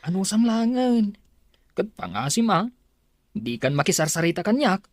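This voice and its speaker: A woman's voice: mid-range voice, big pitch swings, normal speed, no room sound, clean studio sound. A woman playing a concerned, apologetic cousin in a radio drama, earnest and pleading, with an Ilocano Filipino accent.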